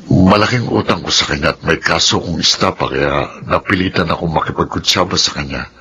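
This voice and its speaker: A guilty doctor, very deep voice. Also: male voice, sharp tone, a little hiss or hum, heavily acted, poor audio. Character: guilty older male doctor, grave and confessing. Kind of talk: Filipino radio drama acting.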